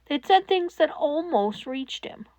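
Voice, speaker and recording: A woman reading fan fiction aloud as audiobook narration, in a slow, measured way. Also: sits high, animated, a little mellow, no echo, muffled recording. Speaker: podfic reader.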